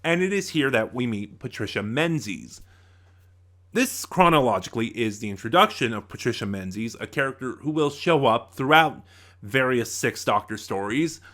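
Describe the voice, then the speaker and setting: Guy doing review commentary, bright clear-cut tone, informal and explainy, sometimes corrects himself. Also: medium pitch, animated, moderate pace. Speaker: reviewer, channel host. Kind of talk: semi-scripted audio drama review commentary.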